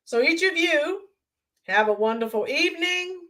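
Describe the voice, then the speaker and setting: A woman hosting a live stream, chatting in a rambling way with viewers and greeting them by name, her voice hoarse and worn. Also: extremely high pitch, lively intonation, moderate pace, pushed and bright, poor audio. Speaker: YouTube channel host. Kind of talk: live-stream chat sign-off.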